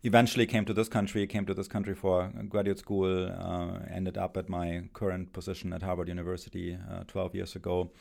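A middle-aged man with a German accent, a philosophy professor speaking as a guest, halting and thoughtful with many pauses and restarts. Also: low voice, flat delivery, gravelly, a little mellow. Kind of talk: thoughtful academic conversation.

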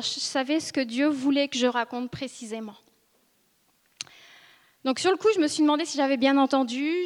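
A woman in her thirties from northern France, giving an earnest, hesitant personal testimony to a church gathering. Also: sits high. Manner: earnest, hesitant, with frequent pauses and restarts. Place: church ministry school meeting room. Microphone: seated speaker, filmed for video. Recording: reverberant hall.

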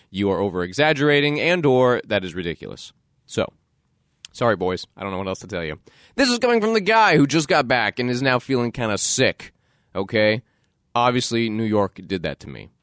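A man hosting a casual solo podcast, his voice stuffed up and nasal from a cold, talking with animated, playful energy. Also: lowish voice, no echo, clean studio sound. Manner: animated, playful self-promotion. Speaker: podcast host.

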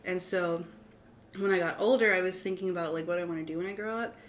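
A woman's voice: fairly low pitch, fairly even intonation, fast. A young adult woman from Austin, Texas, a graphic designer guest talking casually, rambling and modest with many fillers. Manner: rambling, heavy on "like", giggly and modest. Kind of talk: casual interview chat.

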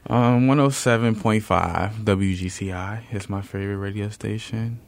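A man co-hosting a radio show, chatting in a relaxed, plain way from a studio. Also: on the low side, normal speed, vocal fry, dry recording. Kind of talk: casual studio interview chat.

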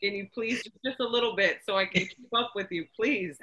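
A woman from New York co-hosting a podcast, relaxed and quick to chime in with agreement. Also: medium pitch, rough recording. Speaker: podcast co-host. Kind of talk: casual podcast chat.

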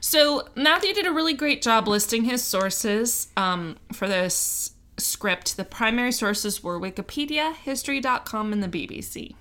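A woman hosts a casual podcast, switching between easygoing chat and read-out narration. Her voice is bright and fairly high, with a lively, swinging pitch, and she laughs easily at her own stumbles. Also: unhurried, few pauses. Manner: chatty and laughing, fumbling over foreign words. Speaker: podcast co-host reading the episode script.

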